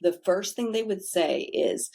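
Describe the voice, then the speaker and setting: A woman with a somewhat low voice, telling her story in a casual interview. She is candid and chatty, and drops the odd swear word without fuss. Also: expressive, measured pace, vocal fry, darkish tone. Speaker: podcast guest, founder of a self-care center.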